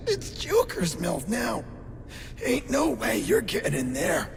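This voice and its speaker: Casting: frightened game thug, very high creaky voice, slangy, defiant, in long reverb. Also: male voice, wildly swooping pitch, takes it slowly, a little hiss or hum, exaggerated voice. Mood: taunting. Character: scared, defiant male criminal henchman. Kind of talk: video game voice acting. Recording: long reverb, faint music.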